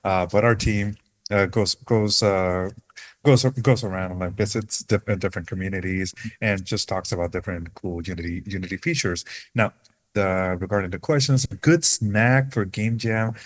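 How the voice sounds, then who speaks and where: A man's voice: deep voice, moderate pace. A man introducing himself on a casual video-call panel, laid-back and slangy, often stumbling and repeating words. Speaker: developer advocate, panelist.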